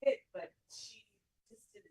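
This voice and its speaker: A woman, a student, talking casually in quick bursts that trail off. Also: darkish tone, echoey room.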